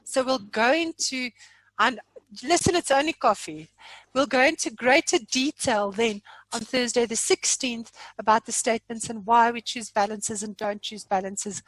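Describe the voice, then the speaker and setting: A woman's voice: a little high, a bit bright, no echo, rough recording. A woman hosting an informal online training session in a jokey, chatty, self-deprecating way. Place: remote online meeting from own room.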